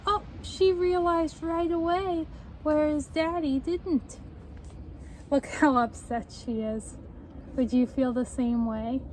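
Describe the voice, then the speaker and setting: Young woman doing kids story time outside, high voice, slow and expressive, bit of street noise. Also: poor audio. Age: young adult. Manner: deliberate, expressive, explains and prompts. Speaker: tutor reading a children's book aloud. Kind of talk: children's read-aloud with questions to listeners. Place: outdoors on a front step by a house door. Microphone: camera at a short distance. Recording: faint outdoor street noise.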